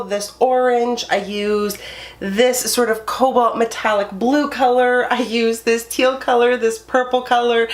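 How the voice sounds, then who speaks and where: A woman's voice: medium pitch, fairly bright tone. A woman chatting eagerly through a makeup review in her bedroom, her voice nasal and congested from a cold. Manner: enthusiastic, chatty. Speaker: beauty YouTuber. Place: her bedroom under filming lights.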